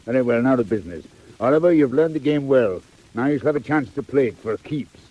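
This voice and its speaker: An actor playing a wily old gang master with oily, coaxing, falsely genial speech in 1940s audio drama style. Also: male voice, medium pitch, lively intonation, highs cut off, close to an everyday voice. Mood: brisk, commanding. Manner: oily, theatrical, drawn-out coaxing. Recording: noisy old 1940s record.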